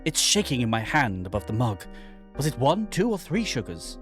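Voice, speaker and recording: A man acting an anxious, tormented submariner, wildly swinging pitch. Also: very animated, normal speed, faint music behind, natural voice. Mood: panicked. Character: anxious, tormented submariner narrating his own humiliation. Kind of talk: dramatic reading of a horror visual novel.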